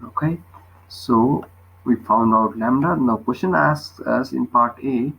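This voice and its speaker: A man's voice: mid-range voice, slow, creaky, a bit bright, husky, rough recording. A man tutoring physics, explaining a worked problem step by step and often checking with short questions.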